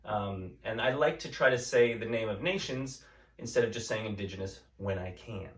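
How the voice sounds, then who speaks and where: A man teaching a class, chatting gently and reading a picture book aloud to children. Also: on the low side, normal speed. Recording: echoey room, mic at a distance.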